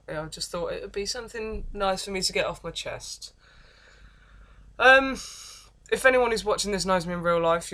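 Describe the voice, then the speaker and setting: A young woman with an English accent and a deep voice, telling her life story in a rambling, self-deprecating vlog with many false starts. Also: a bit bright. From England. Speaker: vlogger. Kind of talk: casual confessional vlog, reading from notes.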